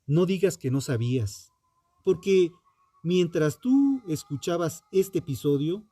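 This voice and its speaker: Male true crime host, slightly high-set voice but mellow and dark, solemn, dramatic scripted read in a dry studio sound. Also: animated, no room sound. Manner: solemn, deliberate, ominous rhetorical delivery.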